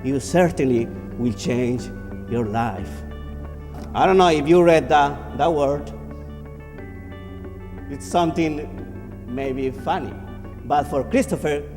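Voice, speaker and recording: A fairly high-voiced man delivers a motivational speech, hammering points home through repetition. His voice rings with long hall reverb, and faint music sits underneath. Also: animated, slow, pauses often. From Ecuador. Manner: emphatic storytelling with repeated phrases. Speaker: motivational speaker.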